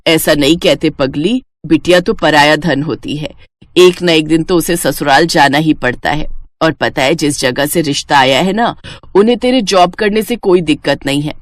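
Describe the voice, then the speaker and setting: A woman's voice: low voice, normal speed, no echo, pitched below the performer's own voice, close to an everyday voice. A woman voicing a doting middle-aged Indian mother who coaxes and fondly scolds in a moral story. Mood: persuading. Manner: coaxing, affectionate, mock-scolding. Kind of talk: animated Hindi moral story dialogue.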